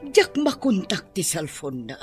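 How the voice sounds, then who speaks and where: A woman playing a worried mother in a drama, with a husky voice, fretting over her daughter. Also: lowish voice, big pitch swings, measured pace, over music.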